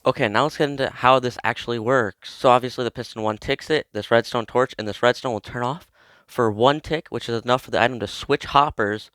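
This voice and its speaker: A young man narrates a step-by-step tutorial while nursing a cold, talking in a loose, informal way. His voice stays smooth and resonant at a middling pitch. The sound is dry and close, with faint music underneath. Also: a little fast, a bit bright, no room sound. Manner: chatty, informal, explains step by step. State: has a cold. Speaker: video creator explaining a game build. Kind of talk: casual tutorial walkthrough.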